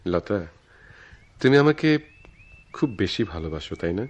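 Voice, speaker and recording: A man's voice: low-pitched, big pitch swings, takes it slowly, a little mellow, heavily acted. A man with a Bangladeshi accent acting a reassuring young man in love in a radio drama, patient and a little theatrical. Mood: tender, patient. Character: reassuring young man eloping with his sweetheart.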